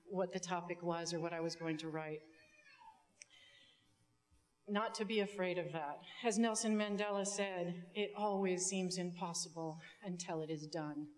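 Echoing middle-aged woman giving a speech. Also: on the low side. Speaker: student commencement speaker. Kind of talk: prepared public speech with some ad-libs. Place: indoor auditorium stage. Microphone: podium microphone. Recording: long hall reverb from stage sound system.

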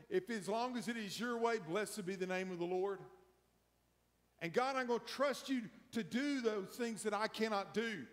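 A middle-aged man preaching as pastor, building emphasis through a slow sermon before a hushed prayer in a large church. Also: high voice. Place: large church sanctuary, empty pews. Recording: long church hall reverb.

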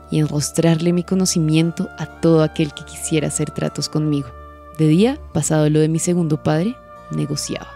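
Dark-voiced, creaky, cold confessor. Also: female voice, low voice. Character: cold, calculating, vengeful female businesswoman confessing crimes. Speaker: actor in an audio drama. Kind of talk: scripted dramatic monologue.

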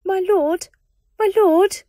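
A woman voicing a terrified, groveling female official who pleads in high shrieks. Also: takes it slowly, dark tone, no echo, clean studio sound.

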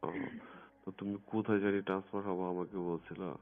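A man's voice: low voice, flat delivery, quick pace. A man speaking in a private phone conversation in a coaxing, insistent manner. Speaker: phone caller in a recorded conversation. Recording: muffled lo-fi phone recording with music.

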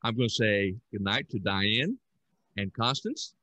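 A man's voice: on the low side, expressive, unhurried, bright, forceful tone, dry recording. An older man hosting a podcast in casual, rambling talk with chuckles, speaking over a headset on a video call. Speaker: podcast host. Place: room at home.

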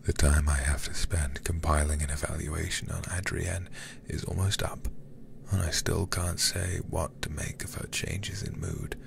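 A man streaming a game as ASMR, reading aloud and chatting softly in an intimate, soothing, breathy way, close to the microphone. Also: extremely low pitch, little pitch movement, a little slow, background noise. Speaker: livestreamer playing horror games.

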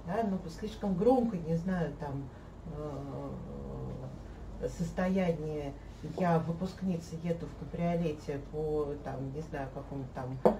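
Woman in her thirties, lowish voice, hesitant chat, room echo, distant mic. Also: slow pace, vocal fry. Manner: animated, gesturing, many hesitations. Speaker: host, olfactory artist. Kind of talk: casual conversation. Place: living room, seated on a sofa. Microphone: fixed camera across the room. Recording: echoey room, mic at a distance, faint hiss.

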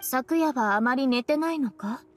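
A woman voicing a cool, stoic, blunt woman in an anime-style game, deadpan and measured, with archaic wording. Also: high-pitched, soft background music, close to an everyday voice. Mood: cool, earnest.

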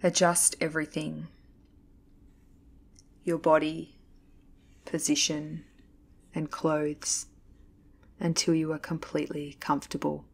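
A woman leading a guided yoga nidra meditation as an instructor, reading calmly and deliberately with measured pauses. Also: low voice, gravelly, slightly rough. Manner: calm, soothing, slow, deliberate pauses. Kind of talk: guided meditation, scripted narration.

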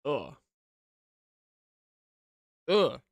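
A man's voice: mid-range voice, wildly swooping pitch, drawn-out words, creaky, no echo. A young man giving casual, jokey reaction commentary with exclamations of dread, close to a desktop microphone. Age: young adult. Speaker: video creator reacting to horror. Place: small room at home. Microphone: large desktop condenser microphone up close.

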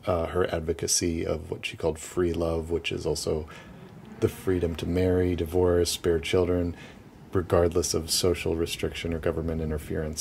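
A man's voice: sits low, creaky, darkish tone, slight background noise. A young man guiding a walking tour outdoors on city streets, chatty and casual, often laughing between points. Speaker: tour guide.

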